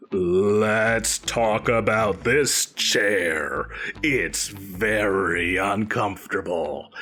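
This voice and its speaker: Man playing a deep, pompous, flustered supervillain boss. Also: pushed and bright, full character voice. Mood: haughty, huffy. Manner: theatrical, haughty, stammering when defensive. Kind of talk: scripted audio roleplay acting.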